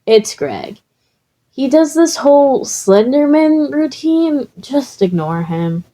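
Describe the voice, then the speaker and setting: A woman's voice: high-pitched, big pitch swings, slow, warm tone, pitched above the performer's own voice, heavily acted. A woman voicing a casual, chatty ghost with a flippant manner in a story reading.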